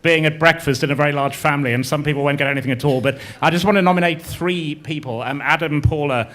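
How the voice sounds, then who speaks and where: A man chairs a panel on stage, his voice sitting a little high. He hesitates and repeats his openings, jokes with the guests and apologizes lightly when he slips. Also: fast talker, a bit bright. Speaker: panel moderator. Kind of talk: moderating a panel Q&A. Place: on stage before an audience.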